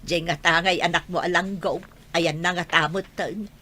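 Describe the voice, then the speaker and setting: A woman's voice: fairly low pitch, fairly even intonation, unhurried, sharp tone. A woman playing a fond, chatty elderly village grandmother with an Ilocano accent in a radio drama. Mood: reminiscing, teasing. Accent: Ilocano, northern Philippines.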